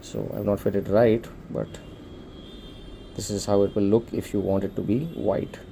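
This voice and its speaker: A man's voice: fairly low pitch, level tone, moderate pace, vocal fry, mellow, slight background noise. A man with an Indian accent casually presenting an unboxing for a shop, explaining things informally step by step. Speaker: shop presenter demonstrating a product.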